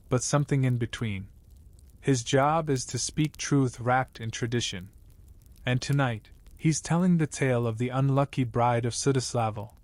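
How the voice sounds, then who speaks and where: A man narrates a scripted bedtime story in a medium-pitched voice. His delivery is measured and wry, like a storyteller addressing one listener. The sound is dry, with no room echo. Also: darkish tone.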